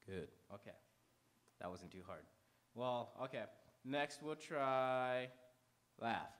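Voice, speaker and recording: Male pre-show host, medium pitch, informal announcements to a live auditorium, unpolished and conversational delivery. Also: expressive, takes it slowly, a bit bright. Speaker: host warming up a live theater audience. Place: on stage in an auditorium before a live audience.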